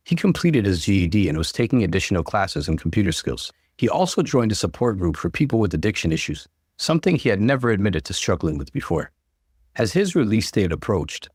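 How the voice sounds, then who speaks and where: A man reading an online story aloud as the channel's narrator, in an expressive storytelling manner. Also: sits low, husky, dry recording, clean studio sound.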